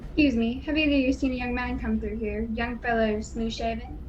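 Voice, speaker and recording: A woman playing an earnest, excitable, folksy male police officer in a radio play over a home video call. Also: a little high, a little fast, dull audio, a little hiss or hum, lightly acted. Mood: inquiring.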